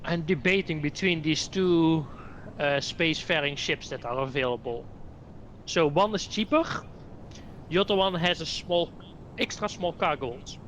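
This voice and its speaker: A man's voice: fairly high pitch, normal speed, fairly bright tone, slight background noise. A man with a Dutch accent chatting casually about a game, thinking aloud with many hesitations. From Netherlands. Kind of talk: casual gaming chat.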